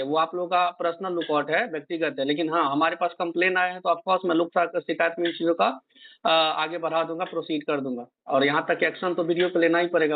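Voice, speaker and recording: A man speaking assertively on a phone call, questioning and lecturing, mixing English words into his speech. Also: on the high side. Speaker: political activist confronting an official. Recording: tinny, band-limited phone call recording.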